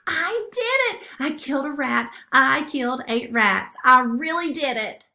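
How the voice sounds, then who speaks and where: A woman's voice: high-pitched, expressive, measured pace, bright, forceful tone, voice pushed up, heavily acted. A woman voicing a boastful, fierce female cat in a children's storybook, bragging and dramatic as a bossy mentor. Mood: triumphant.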